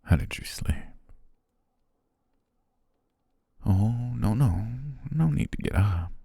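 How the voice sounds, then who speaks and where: A man playing a doting, obsessive butler and softly menacing fiancé in intimate ASMR roleplay, tender, measured and coaxing, close to the microphone. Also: lowish voice, animated, no room sound, exaggerated voice. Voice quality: breathy, husky, gravelly, often near whisper. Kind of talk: intimate ASMR roleplay, slow whispery romance acting. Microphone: close, dry studio microphone.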